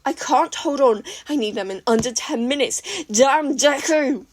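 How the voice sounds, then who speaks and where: A woman voicing a hot-tempered teenage boy who yells curtly and aggressively. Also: high-pitched, unhurried, slight background noise. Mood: yelling. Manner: yelling, curt and aggressive.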